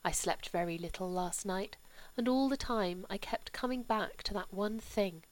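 A woman doing a hushed, grieving aristocratic mother. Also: mid-range voice, natural voice, low-quality recording. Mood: tender. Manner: soft, slow and hushed. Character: grave aristocratic older mother, sorrowful and gentle.

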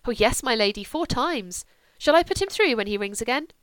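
Woman reader as a polite servant, a little higher, dry close sound. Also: lively intonation, brisk, fairly bright tone, clear, resonant tone, no room sound. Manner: polite, deferential. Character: deferential household servant.

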